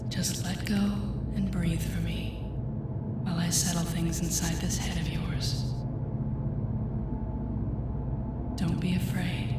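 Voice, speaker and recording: A woman's voice: fairly low pitch, close to an everyday voice. A woman playing a telepathic villainess in an intimate audio roleplay, condescending, sardonic and commanding. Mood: hushed, commanding. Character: telepathic villainess, sardonic, controlling ex-hero turned traitor.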